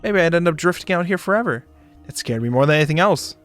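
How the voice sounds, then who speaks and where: A man doing a dramatic narration of a visual novel for a stream, expressive, sometimes stumbling and joking. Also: a little high, quick pace. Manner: expressive, stumbles and rereads lines. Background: music behind the voice.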